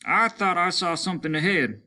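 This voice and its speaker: A man's voice: high voice, lively intonation, moderate pace, pushed and bright, pitched above the performer's own voice, full character voice. A man voicing an alert lieutenant who leads a stranded crew and speaks with urgency. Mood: watchful.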